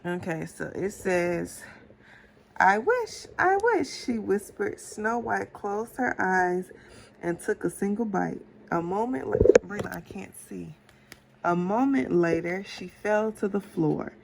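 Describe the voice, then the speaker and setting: Woman reading a storybook, slightly creaky voice. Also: medium pitch, unhurried, a little hiss or hum, poor audio. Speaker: mother reading a storybook aloud to a child. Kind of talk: read-aloud children's storytime with casual asides.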